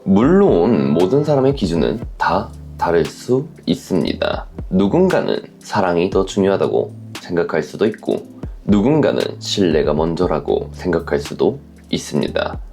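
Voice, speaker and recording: Low-voiced man with a slightly creaky edge. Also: warm tone. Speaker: host of a relationship story channel. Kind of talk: scripted advice commentary to camera or listeners.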